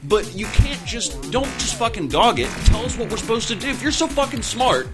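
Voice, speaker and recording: Guy on a podcast arguing politics, swears a lot, really animated pitch, music behind him. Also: fairly quick. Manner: profane, emphatic, argumentative. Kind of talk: casual political debate among friends.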